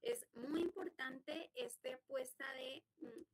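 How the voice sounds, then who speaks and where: A woman's voice: high-pitched, slow pace. A woman professor answering reflectively in an online panel, with hesitation fillers.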